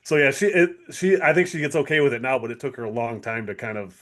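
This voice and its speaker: A man's voice: medium pitch, expressive, fast talker, fairly bright tone. A man with an American accent chatting casually as a podcast co-host.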